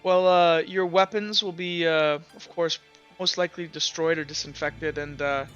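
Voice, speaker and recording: Man voicing a caring, halting woman commander. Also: fairly high pitch, fairly even intonation, fairly bright tone, clean tone, highs cut off, faint music behind, natural voice. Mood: concerned, businesslike. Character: concerned female military commander, caring and businesslike.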